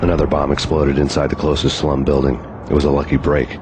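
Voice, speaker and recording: An American actor playing a hard-boiled, cynical cop on the run in a noir video game, deadpan and world-weary. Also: male voice, a bass voice, fast, background noise. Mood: grim, brooding. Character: hard-boiled, cynical, brooding male cop turned fugitive. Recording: music behind the voice.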